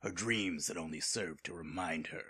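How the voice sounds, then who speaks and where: A man playing a grave narrator of an audio horror drama, reading scripted, dramatic narration in a deep, husky voice. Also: moderate pace, pushed and bright, exaggerated voice. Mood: ominous.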